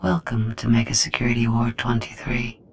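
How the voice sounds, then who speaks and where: A man's voice: medium pitch, steady tone, creaky, a little hiss or hum, natural voice. Ominous, theatrical prison overlord played by a man. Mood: ominous welcome. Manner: grandiose, ominous, deliberate. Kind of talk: video game voice acting.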